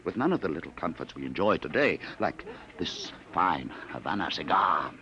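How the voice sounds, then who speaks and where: Sly taunting searcher, silky insinuating patter, hugely swooping pitch, faint hiss of an old radio broadcast. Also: male voice, very animated, moderate pace, pushed and bright, full character voice. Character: smooth sinister male time-travel searcher, taunting. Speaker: actor in a radio drama. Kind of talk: 1950s radio drama acting.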